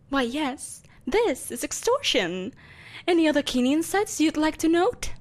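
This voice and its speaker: A woman playing a vengeful, possessive empress in an audio roleplay, speaking in a haughty, theatrical and deliberately menacing way. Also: sits high. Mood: smug, coercive. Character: vengeful, possessive evil empress, soulless, imperious, coaxing a captive. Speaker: voice actor. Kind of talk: scripted audio roleplay acting.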